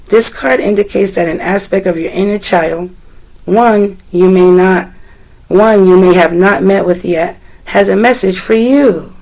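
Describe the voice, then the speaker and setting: A woman tarot reader with a deep, slightly rough voice, still getting over a cold, talking gently and meandering as she speaks to the viewer and reads aloud from a guidebook. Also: measured pace, low-quality recording. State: recovering from a cold. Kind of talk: casual tarot reading, partly read aloud from a guidebook.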